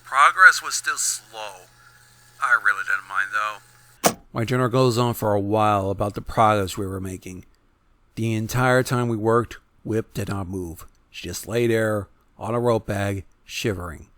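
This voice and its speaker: A man narrating a first-person horror story in a theatrical way, swelling for emphasis and dropping to a hush. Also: fairly low pitch, measured pace, slight background noise.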